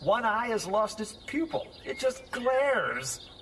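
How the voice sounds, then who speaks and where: An actor playing a fussy, lovestruck, pompous young schoolmaster in an audio drama, with theatrical, precise diction. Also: male voice, very high-pitched, sing-song, slow, a bit bright, full character voice. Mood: dismayed. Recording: faint background noise.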